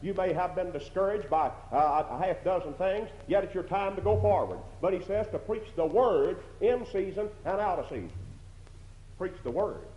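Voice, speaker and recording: A man's voice: high-pitched, expressive, moderate pace. A man with a strong voice preaching a sermon in a church, emphatic, repeating phrases and asking rhetorical questions. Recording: dull lo-fi recording in an echoey hall.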